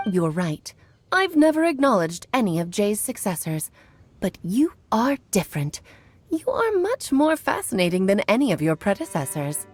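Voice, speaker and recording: A woman's voice: mid-range voice, sing-song, moderate pace, breathy edge, soft background music. A woman playing a playful, worldly elder mage and doting mother in a video game, speaking in an eloquent, theatrical way. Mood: warm, intrigued. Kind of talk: scripted video game voice acting.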